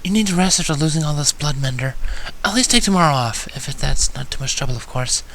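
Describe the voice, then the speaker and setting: A shy young pegasus mare from a storybook, acted out by a man. The voice is lifted high and kept soft and gentle. The delivery is hesitant and deferential. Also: animated, fast, slight background noise, poor audio. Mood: timid, concerned. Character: timid, gentle young female pegasus pony.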